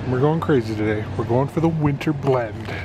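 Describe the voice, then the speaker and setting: Guy doing a casual shopping vlog, regular pitch, really loud warehouse noise behind him. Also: moderate pace. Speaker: vlogger. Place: large indoor warehouse store. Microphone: handheld camera microphone. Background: loud store ambience.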